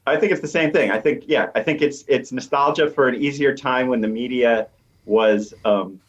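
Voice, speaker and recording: A man's voice: mid-range voice, normal speed. American male professor on a dull-sounding recording. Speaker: expert guest, professor. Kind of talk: conversational explanation in an interview. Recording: dull, slightly lo-fi voice track.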